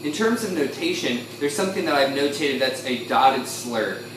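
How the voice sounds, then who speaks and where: A man explaining a musical technique informally as an instructor, pausing and correcting himself as he goes. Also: mid-range voice, animated, far from the mic. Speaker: instructor demonstrating a talk box. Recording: echoey room, off-mic, some noise.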